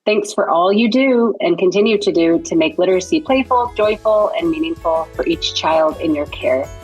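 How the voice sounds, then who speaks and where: A woman's voice: on the low side, lively intonation, normal speed, husky, faint music behind, rough recording. A woman hosting a podcast interview, upbeat and enthusiastic, laughing often as she chats. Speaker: podcast host.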